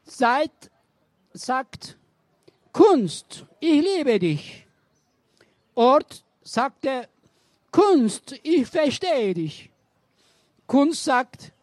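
A woman slowly reciting poems in a deliberate, halting way. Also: sits high, big pitch swings, darkish tone, no room sound, studio quality. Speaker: poetry reader. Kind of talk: slow poetry reading.

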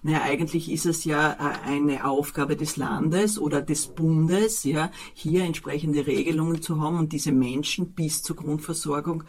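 A man with an Austrian accent, a city social services official, answering in a halting way with many fillers. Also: a little high, fairly even intonation, darkish tone. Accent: Austrian German. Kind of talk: radio interview answers.

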